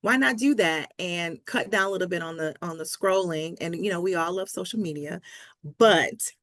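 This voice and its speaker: A woman's voice: on the low side, normal speed, a bit bright, no room sound, clean studio sound. A woman speaking as a language coach in a conversational pep talk, encouraging and emphatic, repeating key phrases for stress. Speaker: Spanish language coach, interview guest.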